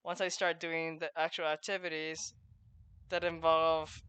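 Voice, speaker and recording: A woman's voice: low voice, flat delivery, a little slow, clean tone. A woman giving casual gameplay commentary, hesitantly thinking aloud. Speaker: gamer commenting on playthrough. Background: loud game music.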